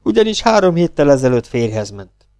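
A man voicing a sharp-tongued young woman secretary, scornful and mocking, in a crime story reading. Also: fairly high pitch, sing-song, clear, resonant tone, dry recording, studio quality.

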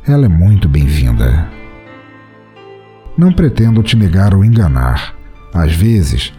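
A man with a deep, mellow voice narrates a horror story in the first person, his pitch swinging widely for dramatic effect. He hosts the podcast himself, and faint music sits under his theatrical reading. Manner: theatrical, expressive delivery.

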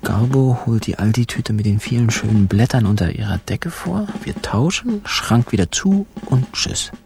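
An actor playing a sly, laconic narrator in a radio crime play, telling the story with wry, clipped phrasing. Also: male voice, medium pitch, expressive, moderate pace, gravelly, darkish tone, faint music behind. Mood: smug, amused. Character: wry, sly male narrator telling his own swindle.

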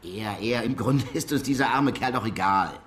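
A man's voice: mid-range voice, normal speed, fairly bright tone, lightly acted. Actor as blunt, suspicious male detective. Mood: insistent. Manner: pointed, accusing. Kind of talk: German radio crime drama acting.

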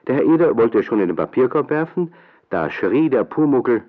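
A man narrating a children's radio play from a script, a measured and expressive storyteller with deliberate pauses. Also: mid-range voice, narrow, tinny audio, heavy background noise.

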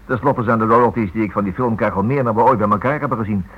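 An actor playing an idealistic, stubborn writer husband in a radio play, talking quickly over himself in a halting, hesitant way. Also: male voice, on the low side, breathy edge. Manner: hesitant, halting with repeated words. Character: idealistic writer husband, absent-minded, evasive yet stubborn. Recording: thin phone-like old radio recording.

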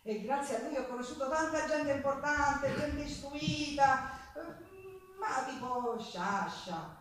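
An actress playing a passionate Sicilian folk singer recalling her life in an earthy, expressive theatrical monologue. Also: sits high, slow pace, a little hiss or hum. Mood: fond, reminiscing. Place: theatre stage with live guitar. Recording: echoey theatre stage recorded from far off.

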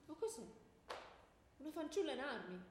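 Wordy mocking smith god, echoey. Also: female voice, sits high, very animated, moderate pace. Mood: wary, then amazed. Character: glib, theatrical player voicing Hephaestus, the axe-wielding smith god. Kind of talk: stage comedy adapted for radio. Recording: echoey room, faint background noise.

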